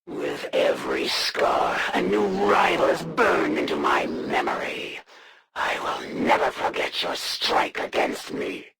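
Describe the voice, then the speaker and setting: A woman playing a proud, menacing alien assassin in a video game, speaking in a formal, solemn way with a gravelly rasp. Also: on the low side, background noise, exaggerated voice. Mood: vengeful. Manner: formal, solemn, haughty, deliberate delivery. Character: proud female alien assassin, honor-bound, menacing, serving the Elders. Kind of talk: theatrical video game villain lines.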